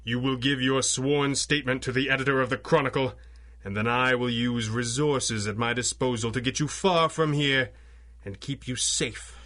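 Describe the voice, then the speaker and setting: A man plays a masked crime-fighter in an old-time radio serial. His voice is bright and forceful at a medium pitch, with formal, clipped authority and urbane polish. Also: steady tone, moderate pace, close to an everyday voice. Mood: stern, menacing. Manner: crisp, formal, authoritative diction. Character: masked male superhero boss, commanding, suave, urbane. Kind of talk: 1930s-style radio drama acting.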